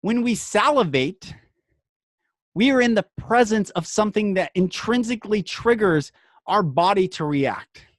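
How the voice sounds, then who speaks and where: A man hosting a podcast, speaking in an earnest, emphatic inspirational monologue broken into short phrases with dramatic pauses. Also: high-pitched, expressive, no room sound, clean studio sound.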